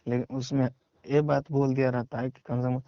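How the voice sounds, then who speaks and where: A man's voice: mid-range voice, little pitch movement, a little fast, no room sound. A man with a Bihari accent, a singer answering questions on a recorded phone call in a casual, terse and defensive way.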